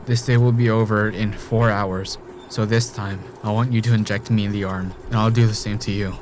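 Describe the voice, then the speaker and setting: A man's voice: fairly low pitch, level tone, moderate pace, close to an everyday voice. A man acting in an audio drama as an obsessive, murderous man trapped in a time loop, speaking with pleading intensity. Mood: pleading, intense. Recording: noisy background ambience.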